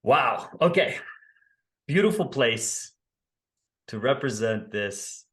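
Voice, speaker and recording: A man's voice: mid-range voice, lively intonation, takes it slowly, a bit bright. A man in his thirties hosting a podcast interview over a video call, affirming and enthusiastic, speaking into a desk mic in his home office. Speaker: podcast host. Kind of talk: warm interview conversation. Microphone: desk condenser mic, video call.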